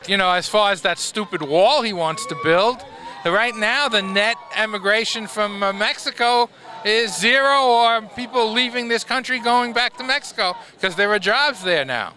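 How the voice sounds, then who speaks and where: A man's voice: sits high, normal speed, a little hiss or hum. A man protester outdoors speaking bluntly and scornfully, at times raising his voice. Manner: blunt, scornful, rising to shouts.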